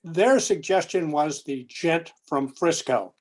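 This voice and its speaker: A man co-presenting a prepared but conversational lecture, with measured, deliberate phrasing. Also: a little high, animated.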